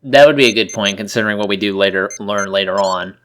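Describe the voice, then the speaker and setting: A man's voice: on the low side, fast talker, a bit bright, a little hiss or hum. A man in casual, joking, sweary chat as co-commentator on a game playthrough. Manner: joking, profane.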